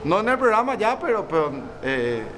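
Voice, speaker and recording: A man's voice: high-pitched, sing-song, moderate pace, background noise. A man hosting a travel show, interviewing a local with prompting questions and frequent "eh" backchannels.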